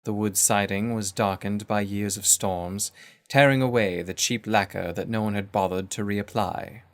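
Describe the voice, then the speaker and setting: A man's voice: on the low side, steady tone, normal speed. A man reading scripted literary narration as a storyteller.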